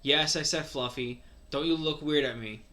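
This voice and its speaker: Higher-voiced man reading aloud. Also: normal speed, sharp tone. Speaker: co-host reading fan fiction aloud. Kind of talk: dramatic read-aloud with casual asides.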